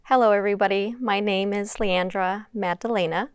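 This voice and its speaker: A woman moderating a conversational stage panel, speaking in a halting way with frequent ums. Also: mid-range voice, steady tone, smooth, no echo, studio quality. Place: conference stage.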